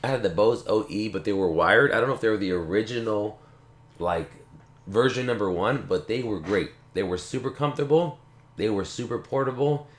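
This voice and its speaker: A man hosting a casual tech video, rambling in a relaxed way and repeating himself, with a slight cough. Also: lowish voice, brisk. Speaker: tech channel host. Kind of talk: casual unboxing talk to camera. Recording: echoey room.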